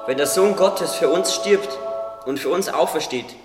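A somewhat high voice comes from a little way off in an echoing hall. It is a playful, loyal bird played by a man in a radio play. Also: a little slow, music in the background, natural voice. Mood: earnest. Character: playful teasing bird, earnest loyal friend. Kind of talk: German radio play acting. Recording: distant, echoey hall.

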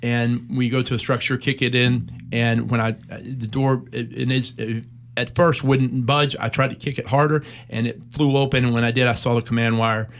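Man, middle-aged, from the US, veteran, gravelly, mid-pitched, measured pauses, interview storytelling. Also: little pitch movement. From United States. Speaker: military veteran guest. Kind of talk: personal war story in a conversational interview.

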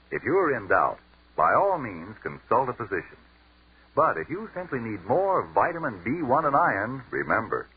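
A man's voice: medium pitch, husky, narrow, tinny audio. A man announcing and reading ads on a 1940s radio broadcast in an emphatic sales cadence.

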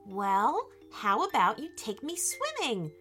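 Woman as an eager little boy explorer, high-pitched. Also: huge pitch swings, slow pace, pushed and bright, faint music behind, heavily acted. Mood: cheerful. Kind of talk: children's storybook character voice.

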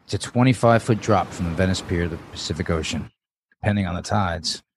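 Low-voiced jaded man read by an actor. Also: quick pace, a little hiss or hum, close to an everyday voice. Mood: brooding narration. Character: disheveled, haunted forty-year-old man, jaded and defiant. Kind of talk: dramatic table read of TV pilot.

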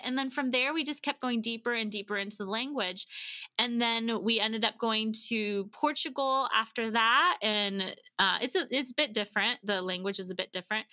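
A woman with a fairly high, very bright voice talks in a chatty interview style. She tells stories and explains things conversationally, slipping into quoted dialogue as she goes. The sound is close and dry. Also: dry recording. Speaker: podcast guest, language-learning company founder. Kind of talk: animated conversational storytelling and explaining.

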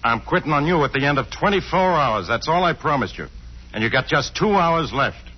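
An actor playing a blustering, stubborn police inspector in a curt 1940s radio drama manner, on a muffled old recording. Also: male voice, fairly high pitch, lively intonation, a little fast, slightly rough. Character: gruff, gloating, stubborn male police inspector.

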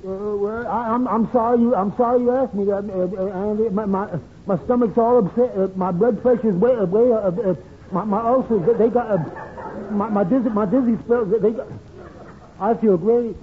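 An actor playing a meek, easily rattled barber who stammers heavily and abandons sentences, in old radio comedy style. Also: male voice, high-pitched, fairly even intonation, normal speed, lightly acted. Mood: flustered. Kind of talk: 1940s radio comedy acting. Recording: scratchy, tinny old-time radio recording.

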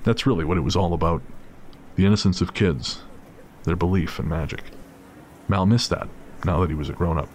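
A man's voice: sits low, fairly quick, pauses often, a little mellow, slight background noise. A man narrating a scripted audio drama as storyteller.

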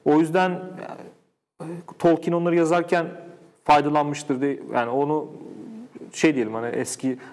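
Halting male host with long reverb. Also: a little high, fairly even intonation, a little mellow. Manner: halting, searching for words, many false starts. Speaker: interview host. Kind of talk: casual unscripted conversation. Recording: echoey hall-like reverb.

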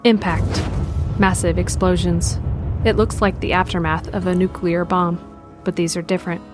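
A woman with a fairly low voice narrates a scripted audio drama. Her delivery is measured and descriptive, and faint music plays behind her. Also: flat delivery.

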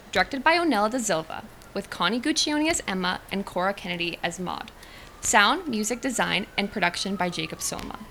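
A woman's voice: mid-range voice, pushed and bright, a little hiss or hum. A woman announcer reading scripted closing credits.

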